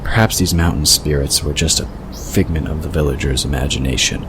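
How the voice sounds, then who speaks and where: Very deep-voiced male audiobook narrator. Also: fairly quick. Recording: clear noise behind the voice. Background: ambient sound effects.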